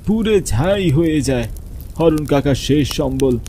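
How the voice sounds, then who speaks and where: Man acting a high-pitched kindly village cleric. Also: lively intonation, mellow, slight background noise. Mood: solemn recounting. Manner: reassuring, measured storytelling. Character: kindly pious village cleric recounting local tragedy.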